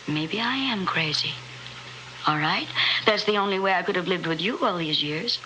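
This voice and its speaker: An actress playing a frightened, embittered middle-aged wife in a 1960s radio horror play, intense and pleading. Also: female voice, fairly low pitch, expressive, moderate pace, pushed and bright, low-quality recording. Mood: bitter anger. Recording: slightly hissy old radio recording.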